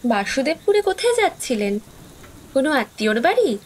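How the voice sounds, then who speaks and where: Very high-pitched, sweet young hostess. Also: female voice, very animated, a little fast, a little hiss or hum. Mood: polite, curious. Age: young adult. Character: sweet, smiling young village woman, hospitable and teasing. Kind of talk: audio horror story acting.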